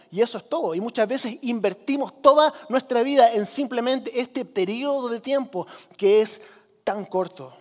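A man's voice: sits high, darkish tone. Chilean man preaching in a reverberant hall. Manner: conversational, repeats phrases for emphasis. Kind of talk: sermon.

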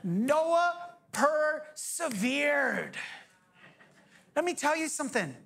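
A man in his forties preaching a sermon as pastor, energetic, joking and firing off rhetorical questions. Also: very high-pitched, drawn-out words, vocal fry. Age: 40s.

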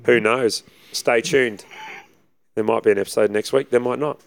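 A man, husky from preaching, chatting casually and rambling as an assistant pastor co-hosting a podcast. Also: mid-range voice, steady tone, normal speed, no echo. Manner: easygoing, rambling with many fillers. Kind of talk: casual chat.

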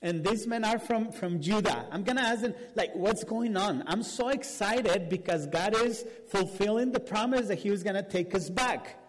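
A man with a Spanish accent, whose first language is Spanish, preaching a sermon and telling a story with animated restarts. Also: high voice, normal speed, hardly any pauses. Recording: long hall reverb.

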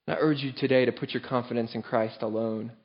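A man's voice: mid-range voice, steady tone, fast. Earnest preacher man, slightly muffled recording. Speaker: pastor. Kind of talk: sermon.

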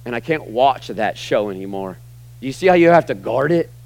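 A middle-aged American man preaching a sermon to a church congregation, emphatic, moving between loud, punchy lines and quiet, low asides. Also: animated, brisk, vocal fry, a little hiss or hum. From United States. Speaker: pastor. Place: church sanctuary.

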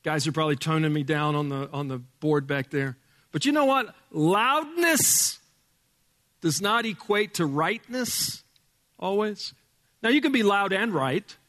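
Man, pastor, loud voice, emphatic sermon, church hall reverb. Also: animated, unhurried. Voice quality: loud speaking voice. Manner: emphatic, swings between booming and hushed. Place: church sanctuary with sound board.

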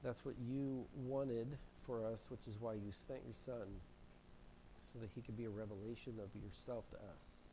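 A male pastor preaching a sermon in a church, hoarse from a cold and often clearing his throat. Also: mid-range voice, fairly even intonation, moderate pace, mellow, slight background noise, poor audio. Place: church sanctuary. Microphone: handheld mic.

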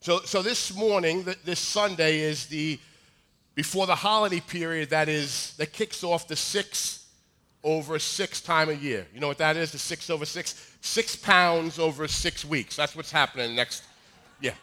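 A man in his forties with a high voice, preaching a sermon in a joking, conversational way with many restarts. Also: unhurried, sharp tone. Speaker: pastor. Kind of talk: sermon with humorous asides.

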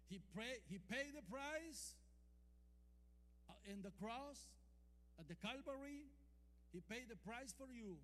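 High-voiced preacher with a Costa Rican accent. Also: male voice, lively intonation, a bit bright. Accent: Costa Rican Spanish. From Costa Rica. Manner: calls and responses, drawn-out emphatic scripture quotes. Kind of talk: sermon. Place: church hall. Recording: long hall reverb, faint hum.

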